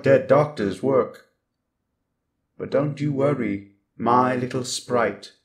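A man playing a cold, menacing evil robot in a scripted ASMR roleplay, deliberate, with stuttering glitched repeats of syllables and words. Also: mid-range voice, lightly acted. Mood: sinister reassurance.

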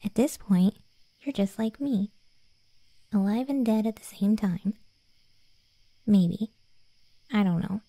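Woman as conceited undead cat girl, playful, teasing, ASMR roleplay, very soft dark tone, gravelly fry, self-important. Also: medium pitch, slow, long pauses, no echo. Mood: gently encouraging. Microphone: close, dry studio-style mic.

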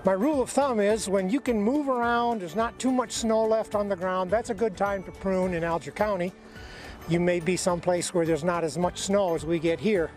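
A man's voice: high-pitched, moderate pace, a little mellow, faint music behind. A man with an American accent giving an instructional demonstration in a folksy, plain-spoken way as an educator. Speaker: extension educator, presenter.

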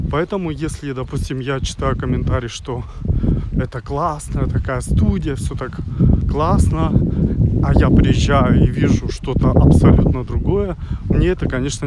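A man around forty talking as a travel vlogger while walking outdoors, pausing mid-sentence and grumbling frankly. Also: a little high. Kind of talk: walking vlog monologue to camera. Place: outdoors on a resort town street. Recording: windy outdoor street noise, loud.

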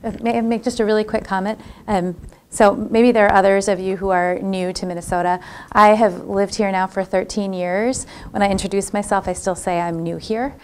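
A woman's voice: mid-range voice, fairly even intonation, normal speed, a little hiss or hum. A woman speaking on a panel in a lecture room, reflecting thoughtfully and personally on community and belonging. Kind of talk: panel discussion.

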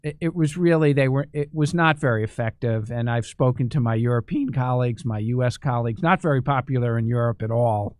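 A man's voice: medium pitch, fairly quick, few pauses, clean tone, no room sound. A middle-aged man hosting an interview, asking earnest conversational questions into a studio microphone. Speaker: host. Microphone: studio microphone on stand.

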